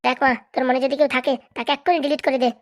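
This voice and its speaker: A woman's voice: high voice, flat delivery, rapid-fire, lightly acted. A woman voicing a firm, coaxing middle-aged mother in a comedy cartoon, nagging and insistent. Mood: insistent, scolding. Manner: nagging, repeatedly checking she is understood. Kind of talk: Bengali comedy cartoon dub.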